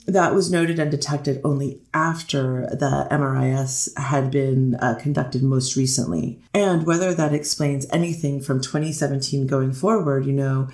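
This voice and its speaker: Someone reading as a hedgy hesitant defense lawyer, courtroom reenactment, lots of fry, echoey room. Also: medium pitch, steady tone, a little mellow, close to an everyday voice. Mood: halting, explanatory. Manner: halting, hedging, repetitive. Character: hesitant, deferential defense lawyer pleading to a judge. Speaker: defense attorney. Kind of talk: courtroom transcript readers theater. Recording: roomy, echoing voice recording.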